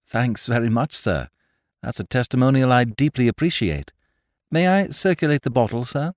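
A man voicing a lively, emphatic young English lord in an audiobook, with an upper-class English accent and clipped, exclamatory phrases. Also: medium pitch, moderate pace, no echo, studio quality, pitched above the performer's own voice, lightly acted. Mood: polite, appreciative. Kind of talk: audiobook character voice.

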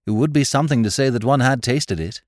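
A man voicing a lively, emphatic young English lord in an audiobook, with an upper-class English accent and clipped, exclamatory phrases. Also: mid-range voice, fast, no room sound, clean studio sound, voice pushed up, close to an everyday voice. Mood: eager, animated. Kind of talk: audiobook character voice.

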